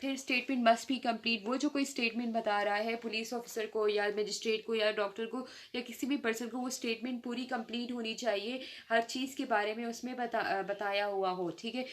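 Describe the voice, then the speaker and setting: A woman with a Pakistani accent giving an explanatory law lesson, mixing in English terms and often pausing to rephrase. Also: on the high side, fairly even intonation. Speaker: law lecturer.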